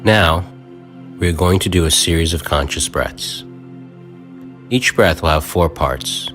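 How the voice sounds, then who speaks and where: A man with an extremely low voice talks a listener through a guided meditation, counting each breath. He speaks softly and unhurriedly, with long pauses. Faint new-age music plays behind him. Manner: calm, soft, unhurried instructions with long pauses.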